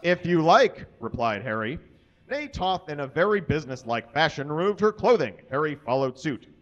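A man in his thirties giving a comic live reading of fan fiction on a small stage, deliberately tripping over the mistakes in the text. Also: on the high side, big pitch swings, fairly bright tone. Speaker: live reader. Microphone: stand microphone on stage, filmed from a distance. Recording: dull, muffled live stage recording.

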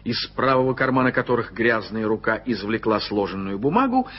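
A man narrating a radio play in an expressive storytelling manner. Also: on the low side, brisk, breathy edge. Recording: dull lo-fi old radio recording.

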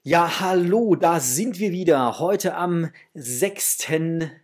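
Husky, effusive male vlogger. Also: on the high side, animated, slow. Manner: effusive, affectionate toward viewers. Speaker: host of a toy unboxing channel. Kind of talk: casual chatty unboxing vlog. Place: small indoor home room.

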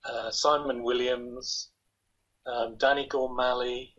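Husky older man rambling on a video call. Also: mid-range voice, flat delivery, takes it slowly, a bit bright. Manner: chatty, rambling, self-deprecating. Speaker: interview guest. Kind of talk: casual video-call interview. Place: home room, indoors. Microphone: laptop webcam on a video call. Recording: dull lo-fi video call audio.

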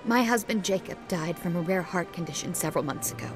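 A woman's voice: lowish voice, fast talker, close to an everyday voice. An actress playing a grieving, defiant young widowed mother-to-be in a video game drama, wounded but firm. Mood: guarded, firm. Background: music.

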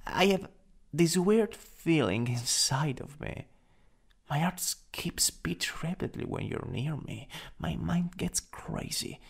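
A shy young-love kind of male crush , acted by a man in an ASMR audio roleplay. He speaks slowly and haltingly, with a gravelly fry and very swooping pitch. His soft, intimate delivery keeps slipping toward a breathy near-whisper. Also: heavily acted. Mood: smitten, nervous.

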